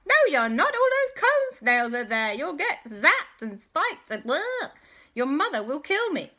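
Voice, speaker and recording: A woman's voice: high voice, very animated, unhurried, pushed and bright, voice pushed up, exaggerated voice. A woman voicing a frantic, protective aunt who scolds in a worried way, in a children's story. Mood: alarmed scolding.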